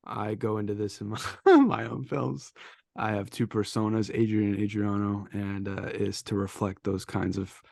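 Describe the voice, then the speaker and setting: A man with a fairly low voice is recorded very dry, with no room sound. He talks as a co-host in a casual film discussion, reflective and halting as he searches for phrasing. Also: fairly even intonation, creaky, a little mellow, no room sound. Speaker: podcast co-host.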